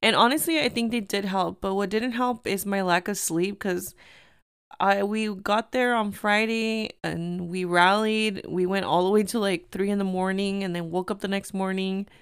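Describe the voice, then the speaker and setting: A young woman hosting her own podcast, slightly hoarse and tired, chatting casually and telling a story with lots of "like". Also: medium pitch, steady tone, normal speed, no echo, clean studio sound. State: tired from lack of sleep. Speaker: podcast host. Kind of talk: casual solo podcast chat.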